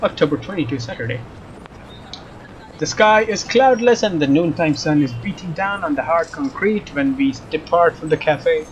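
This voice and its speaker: A man narrating a visual novel as a let's player, expressive and informal, with casual asides. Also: a little high, moderate pace, slight background noise. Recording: dull lo-fi sound, music behind. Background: game music with guitar.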